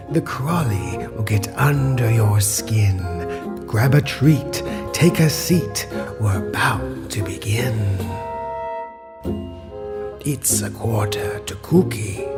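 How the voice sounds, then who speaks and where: A man playing a spooky horror-show host, delivering a rhymed welcome in a theatrical, drawn-out manner. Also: fairly low pitch, exaggerated voice. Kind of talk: horror anthology introduction. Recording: loud music under the voice.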